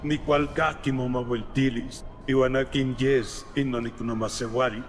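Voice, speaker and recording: A man's voice: mid-range voice, level tone, moderate pace, lightly acted. A man voicing a defiant, confident rival god in a fan-dubbed cartoon, theatrical and assured. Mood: challenging. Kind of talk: fan-dubbed animated drama. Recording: reverberant hall, noisy background.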